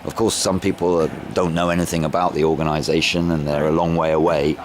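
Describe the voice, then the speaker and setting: A low-voiced man conducts an informal interview outdoors. His questions ramble and come out haltingly, over clear background noise from traffic. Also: level tone. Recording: noisy outdoor amateur recording with traffic.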